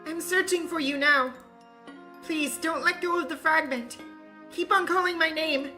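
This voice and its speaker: A woman voicing a gentle girl deity who calls softly, pleading from far away. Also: very high voice, measured pace, soft background music, exaggerated voice. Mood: distant, pleading.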